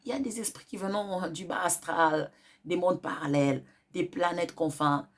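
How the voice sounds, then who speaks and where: A woman's voice: lowish voice, normal speed. A woman hosting a wellness channel with a cold, giving earnest spiritual advice and repeating her points for emphasis. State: has a cold.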